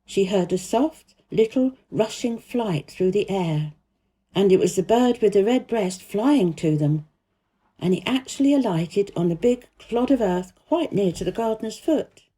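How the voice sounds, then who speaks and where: Female children's audiobook narrator: mellow tone, lively intonation, careful, expressive scripted reading. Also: mid-range voice, unhurried, breathy edge, low-quality recording. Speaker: storyteller.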